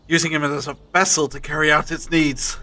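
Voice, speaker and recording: Guy acting commanding time traveller hero, audio drama, bright sharp voice, faint music behind. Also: fairly high pitch, level tone, brisk. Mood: urgent.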